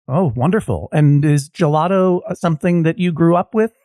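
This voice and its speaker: A man's voice: on the high side, normal speed, warm tone, dry recording. Male podcast host, wry and jokey, asks meandering questions, dry studio sound. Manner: wry, joking, rambling asides. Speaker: podcast host acting as judge. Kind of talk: playful comedic questioning.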